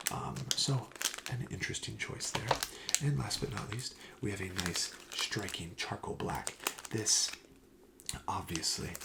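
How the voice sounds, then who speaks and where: A soft-spoken ASMR host speaking gently, often near a whisper, in the polite, formal patter of a shop clerk. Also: low-pitched, vocal fry, heavy background noise.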